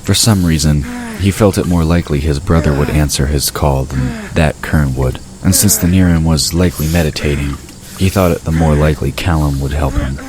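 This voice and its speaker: A man's voice: very deep voice, brisk, a crowd in the background. A man narrating a scripted fantasy audio drama. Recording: noisy ambient sound effects behind.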